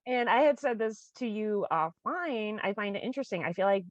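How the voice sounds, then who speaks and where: A woman's voice: medium pitch, animated, a little fast, dry recording, highs cut off. A woman co-hosting a music reaction video, chatting casually and gushing with delighted groans. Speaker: co-host of a reaction channel.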